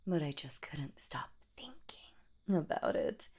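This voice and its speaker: Woman voicing a slow, breathy, creaky monster seductress of horror. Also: very animated, a little mellow, full character voice. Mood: eager, predatory. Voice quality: breathy, husky whisper with creaky low notes. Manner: slow, teasing, menacing, mock-sweet. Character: sadistic, playful female boogeywoman monster hunting humans. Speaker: audio roleplay voice actor. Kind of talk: whispered horror audio roleplay monologue.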